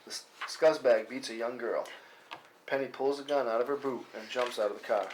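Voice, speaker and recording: A man narrates screenplay directions at a live table read. His pitch is average, and the recording has faint noise with clicks. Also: steady tone, brisk. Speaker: stage-direction reader.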